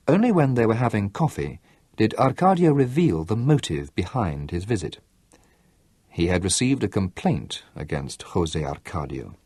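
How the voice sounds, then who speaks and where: A man narrating a literary novel aloud in a measured storytelling delivery. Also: fairly low pitch, darkish tone, breathy edge, dry recording. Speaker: audiobook narrator.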